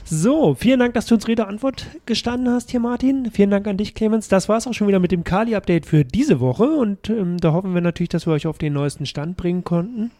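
A man's voice: high voice, fairly quick, few pauses, warm tone. A man hosting a club news podcast, upbeat and chatty, thanking guests and reading notices. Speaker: podcast host.

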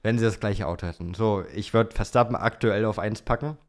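A man's voice: lowish voice, brisk. A young man co-hosting a podcast, chatting casually and answering listener questions in informal youth slang. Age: young adult. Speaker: podcast host.

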